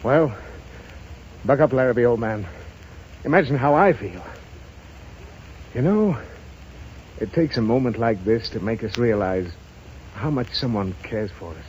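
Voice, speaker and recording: An actor playing a brash, self-absorbed globe-trotting reporter and husband, with breezy declamation in a 1930s radio drama style. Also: male voice, medium pitch, lively intonation, unhurried, long pauses, a little mellow, natural voice. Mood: smug, consoling. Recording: muffled, hissy 1930s radio broadcast.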